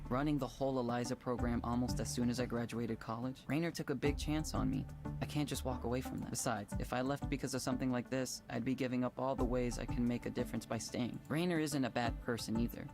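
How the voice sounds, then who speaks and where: A man's voice: mid-range voice, steady tone, quick pace, over music, lightly acted. An actor playing an earnest, conflicted young man who leads a tech program, hesitant and apologetic, in naturalistic visual novel dialogue. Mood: resigned, apologetic. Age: young adult. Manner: earnest, hesitant, self-doubting.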